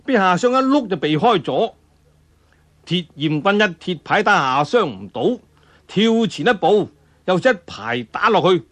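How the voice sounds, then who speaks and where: A man with a Cantonese accent telling a martial-arts tale in a dramatic, colloquial traditional storytelling style. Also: high voice. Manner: dramatic, colloquial, with spoken sound effects and interjections.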